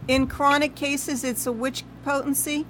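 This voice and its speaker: A woman hosts a live interview, asking friendly, encouraging questions. Her voice sits a little higher than average. Faint background noise runs under the video call. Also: level tone, measured pace, a bit bright. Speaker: host.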